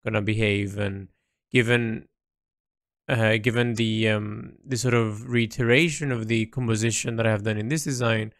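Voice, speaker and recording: A man recording a reflective, unscripted audio diary, thinking aloud haltingly with repeats, in a creaky voice. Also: on the low side, fairly even intonation, a bit bright, no echo, studio quality. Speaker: painter recording an audio log.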